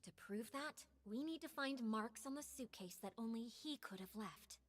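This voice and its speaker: A woman's voice: a little high, lively intonation, moderate pace. A woman voicing a polite, composed young female investigator with an analytical manner in a video game.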